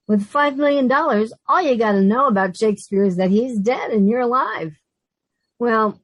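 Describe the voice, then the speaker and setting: A woman's voice: mid-range voice, no echo, lightly acted, poor audio. Woman playing a wry, wisecracking radio sitcom secretary. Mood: exasperated, wry. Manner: wry, sardonic quips. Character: wisecracking, exasperated but fond working woman, a secretary. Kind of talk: old-time radio sitcom acting.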